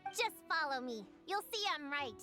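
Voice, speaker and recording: A confident little girl investigator, high voice that swoops around, bright, insists she's right, game music behind. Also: very animated, normal speed. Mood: insistent. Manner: earnest, insistent, a little self-important. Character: eager young girl investigator, confident and stubborn. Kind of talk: video game voice acting.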